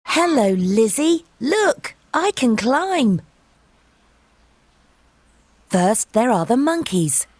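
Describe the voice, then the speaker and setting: A woman reading a scripted storybook for young learners, with deliberate, simple phrasing. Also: a little high, very animated, darkish tone, dry recording, studio quality. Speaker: audiobook narrator for young learners. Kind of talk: scripted children's storybook narration.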